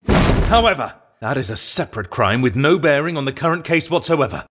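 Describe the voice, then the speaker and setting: A man's voice: medium pitch, lively intonation, fairly quick, a bit bright. A man voicing a smug, formal rival prosecutor in a video game dub, precise and pompous. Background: music.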